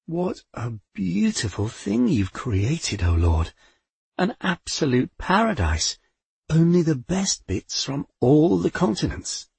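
A man's voice: on the high side, very animated, slow pace, gravelly, a little mellow, breathy edge, dry recording, voice pushed up, heavily acted. A man doing whispering, anxious angels. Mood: uneasy. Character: whispering, uneasy chorus of angels.

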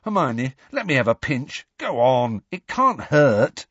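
A man's voice: medium pitch, very animated, takes it slowly, a bit bright, dry recording, dull audio, pitched above the performer's own voice, heavily acted. A man voicing an eager, impulsive teenage schoolboy with a casual way of talking in an audiobook. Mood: eager, pleading.

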